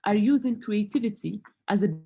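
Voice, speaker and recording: A woman's voice: mid-range voice, vocal fry. Note: the speaker switches from French to English at line 20. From Morocco. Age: young adult. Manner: careful, measured, with frequent hesitant fillers. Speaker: doctoral researcher presenting at a conference. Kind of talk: academic conference presentation.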